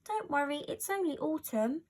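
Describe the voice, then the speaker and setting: A woman plays a little male woodland creature from a storybook, with a high, youthful voice. He is earnest and caring, fretting over things and exclaiming as he speaks. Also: animated, moderate pace, darkish tone. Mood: worried, reassuring. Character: small young male forest animal, earnest, anxious, caring. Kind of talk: children's storybook character voice.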